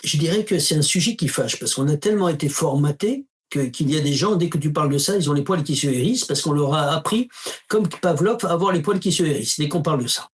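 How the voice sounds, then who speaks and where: Man with a slightly higher voice, speaking casually. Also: fast. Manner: storytelling, acts out quoted dialogue with emphatic asides; uses informal tu to the listener. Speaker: spiritual teacher, interview guest. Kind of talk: casual conversational interview.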